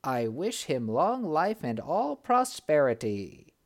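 A wise talking bird, as a storyteller puts it on, measured and solemn. It speaks slowly and deliberately, with lively movement in pitch.

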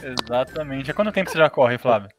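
A man's voice: medium pitch, lively intonation, fast talker, music in the background. A man hosting a casual podcast, upbeat and joking with his guest. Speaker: podcast host.

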